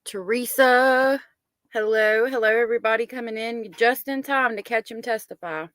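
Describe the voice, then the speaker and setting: A woman's voice: fairly high pitch, normal speed, fairly bright tone, dry recording. A woman hosting a live stream, giving blunt, wry commentary on a trial as she watches it. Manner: blunt, wry, talks back at the screen. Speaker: livestream host commenting on a trial.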